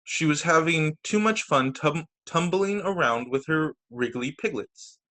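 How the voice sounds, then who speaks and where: A man's voice: on the high side, animated, a little slow, bright, forceful tone. A man reading picture books aloud to children as a teacher, narrating over a video call. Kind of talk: storytime narration read aloud.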